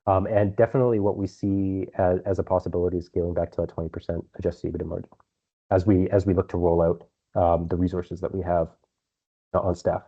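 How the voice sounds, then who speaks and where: A man speaking as a company executive, answering investor questions on an earnings call in measured phrases with some repeated words. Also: sits low, little pitch movement, husky. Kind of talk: earnings call answers, semi-prepared business talk. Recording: dull, band-limited conference call audio.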